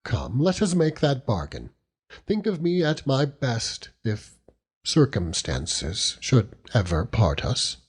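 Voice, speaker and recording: A man voicing an affectionate, charming young gentleman in an audiobook, speaking earnestly. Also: mid-range voice, huge pitch swings, takes it slowly, breathy edge, full character voice. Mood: earnest, wistful. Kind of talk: audiobook character acting.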